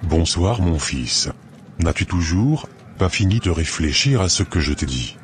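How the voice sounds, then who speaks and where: Man playing a very deep, sinister sorcerer. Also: expressive, unhurried, a little hiss or hum. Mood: commanding. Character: sinister older male marabout sorcerer, calmly commanding. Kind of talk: cartoon voice acting in French. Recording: music behind the voice.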